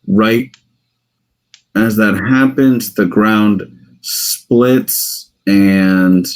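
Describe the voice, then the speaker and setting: A man's voice: on the low side, extremely slow, poor audio. A man running a tabletop game as dungeon master, chatting casually with his players.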